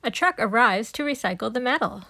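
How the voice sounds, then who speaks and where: A woman reading a picture book aloud to young children, animated and emphatic in her storytelling. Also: on the high side, brisk, dry recording. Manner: animated, emphatic on sound-effect words. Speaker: storybook reader. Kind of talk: expressive read-aloud narration for young children.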